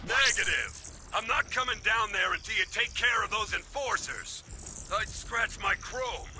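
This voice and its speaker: A vain, haughty flying robot in a video game. The voice is high-pitched and full of protest. Music and machinery sound behind it. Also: male voice, animated, unhurried. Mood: haughty refusal. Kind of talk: video game character acting.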